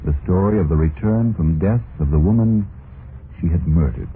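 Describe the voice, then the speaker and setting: A man's voice: sits low, moderate pace. A man playing a grave horror show announcer, portentous and theatrical in the old-time radio manner. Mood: ominous. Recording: tinny, noisy vintage radio recording.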